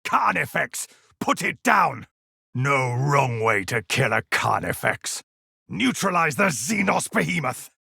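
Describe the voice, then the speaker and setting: Grim, husky space marine commander. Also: male voice, mid-range voice, sing-song, slow pace, bright, forceful tone, dry recording, studio quality, heavily acted. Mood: commanding. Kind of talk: video game combat barks.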